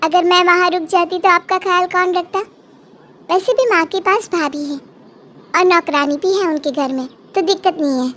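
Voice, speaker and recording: A woman's voice: extremely high pitch, fairly even intonation, moderate pace, fairly bright tone. A woman voicing a polite, dutiful young daughter-in-law in a Hindi cartoon, earnest and respectful. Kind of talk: Hindi cartoon moral story acting.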